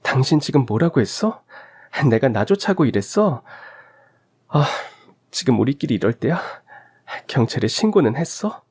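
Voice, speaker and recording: A man's voice: medium pitch, very animated, normal speed, darkish tone, breathy edge, pitched above the performer's own voice. A man voicing a distraught, accusing young mother with urgent, pleading exclamations in a radio drama. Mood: indignant. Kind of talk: Korean story radio drama acting.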